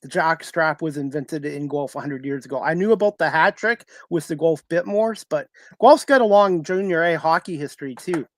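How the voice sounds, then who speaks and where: A man's voice: a little high, normal speed, few pauses, no echo, studio quality. A man hosting a casual sports chat, earnest and eager to share trivia. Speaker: talk show host, sports broadcaster.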